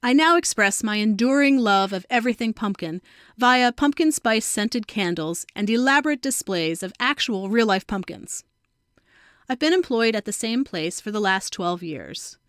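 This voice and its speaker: A woman's voice: a little high, lively intonation, normal speed, fairly bright tone, smooth, no echo, studio quality. A woman of about forty reading her own scripted personal essay as a narrator. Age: around 40.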